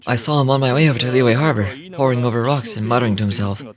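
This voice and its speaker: A man's voice: medium pitch, racing, crowd noise, natural voice. An actor playing a talkative, self-assured middle-aged foreman in a fantasy game. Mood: explanatory.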